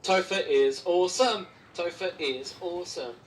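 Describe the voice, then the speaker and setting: A man's voice: high-pitched, moderate pace, lightly acted. A man voicing a human ringtone, chanting in a repetitive, jingle-like way. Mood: insistent. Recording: distant, music behind.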